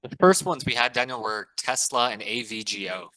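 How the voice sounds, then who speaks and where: A man's voice: mid-range voice, moderate pace, bright, forceful tone, dry recording, poor audio. A man chatting casually as a co-presenter, relaying requests and asking questions. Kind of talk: casual chat.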